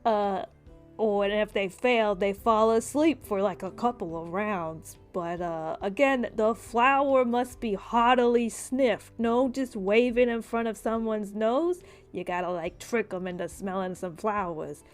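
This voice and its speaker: A woman's voice: medium pitch, a little slow, soft background music. A woman improvising as game master and explaining things conversationally in a tabletop roleplaying game. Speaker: game master voicing a shopkeeper in a tabletop RPG podcast.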